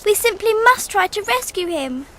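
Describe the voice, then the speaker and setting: A woman's voice: very high voice, expressive, normal speed, a bit bright. A woman playing an earnest, kind-hearted little girl in a radio drama. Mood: earnest pleading.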